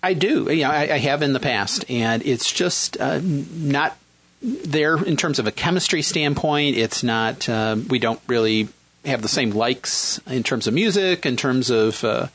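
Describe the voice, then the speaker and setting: A man in his fifties with a Midwestern accent, talking casually as a guest, with many pauses and fillers as he searches for words. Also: mid-range voice, lively intonation, a bit bright. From Michigan, raised in Western New York. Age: 50s. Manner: hesitant, many fillers and restarts. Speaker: guest, single dad looking for a partner. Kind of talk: casual chat.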